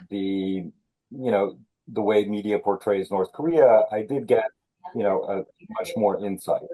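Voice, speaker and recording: A man born in Senegal, a thriller author being interviewed, talking in a measured way with many fillers and restarts. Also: lowish voice, warm tone, rough recording. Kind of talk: conversational interview.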